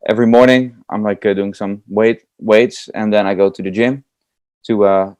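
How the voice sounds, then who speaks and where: A man's voice: on the low side, steady tone, moderate pace, darkish tone, smooth, dry recording. A young Dutch man with a Dutch accent, a juggler answering interview questions in an eager, chatty way with many fillers. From Netherlands. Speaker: guest, comedy juggler.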